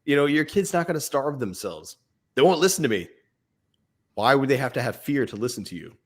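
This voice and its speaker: A man's voice: medium pitch, lively intonation, fairly quick. A man co-hosting a fatherhood podcast, talking casually and animatedly, acting out little scenes with his kids and laughing often. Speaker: podcast co-host. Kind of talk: casual parenting advice chat.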